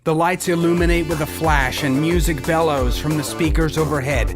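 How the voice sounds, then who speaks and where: A man's voice: on the high side, steady tone, normal speed. Male narrator for a comic adventure audio drama, dramatic scripted read, heavy music bed. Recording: loud music under narration.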